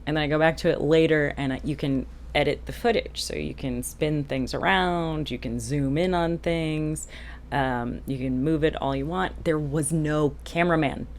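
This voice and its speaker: Female vlogger, boat-captain type: very deep voice, candid and self-deprecating, casual explainer delivery, noticeable background noise. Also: normal speed. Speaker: boat captain and video host. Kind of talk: casual explanatory vlog to camera.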